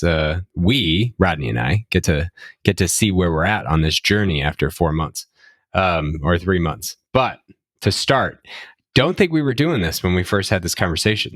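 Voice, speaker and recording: A man co-hosting a podcast, asking measured, friendly questions. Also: deep voice, fairly bright tone, dry recording, clean studio sound. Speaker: podcast co-host. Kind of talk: casual interview conversation.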